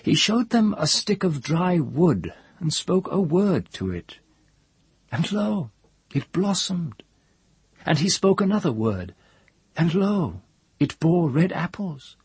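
A man's voice: fairly high pitch, sing-song, slow pace, pauses often, breathy edge, no room sound, pitched above the performer's own voice, full character voice. A man voicing a grave, knowing woman in a story, telling of marvels with solemn awe. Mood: solemn wonder.

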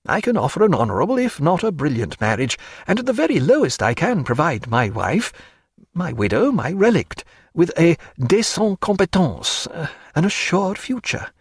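A man reading an earnest gentleman in an audiobook, speaking in a stiff, formal manner. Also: fairly high pitch, big pitch swings, moderate pace, breathy edge, dry recording, clean studio sound, pitched above the performer's own voice, heavily acted. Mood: passionate.

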